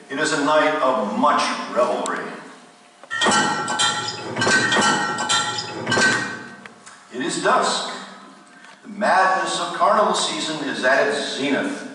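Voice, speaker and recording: An actor playing the ghost of a solemn, morbid storyteller, narrating a radio play in formal, grandiloquent phrases with deliberate dramatic pauses. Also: male voice, fairly high pitch, big pitch swings. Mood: brooding, dramatic. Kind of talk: theatrical radio play narration to a live listener. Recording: distant echoey hall, faint noise.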